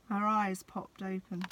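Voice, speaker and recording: Slow, expressive woman reading a storybook. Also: mid-range voice. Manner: dramatic pauses, addresses young listeners. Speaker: teacher reading a picture book. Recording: clear background noise.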